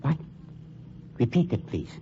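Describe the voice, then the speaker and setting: An actor playing a suave, menacing funeral director turned sleuth, with an American accent and formal, pompous, insinuating speech in old-time radio drama style. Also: male voice, measured pace, lightly acted. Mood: probing. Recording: dull, noisy old radio recording.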